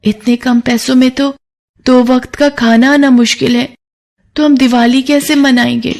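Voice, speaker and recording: Woman voicing a high-pitched, earnest poor young girl. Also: fairly even intonation, a little slow, gravelly, a little mellow. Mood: worried, downcast. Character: poor young girl selling clay lamps, earnest, emotional.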